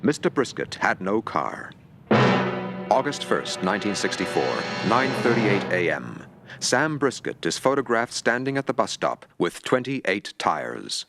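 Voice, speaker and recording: A man's voice: fairly low pitch, big pitch swings, unhurried. A man narrating a mock documentary in a grave, dramatic tone. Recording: music underneath.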